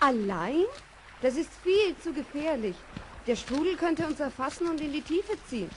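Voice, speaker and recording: A cheerful lady minding children in an old radio play. Her high voice swoops with lively, theatrical instruction over faint recording noise. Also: normal speed, low-quality recording. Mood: lively, instructing. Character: brisk, cheerful lady looking after children.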